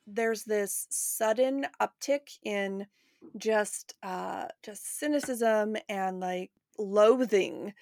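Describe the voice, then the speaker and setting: Creaky American woman, close and dry. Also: medium pitch, very slow, a little mellow, no room sound. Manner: informal, blunt, sweary. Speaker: podcast host. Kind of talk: casual chat.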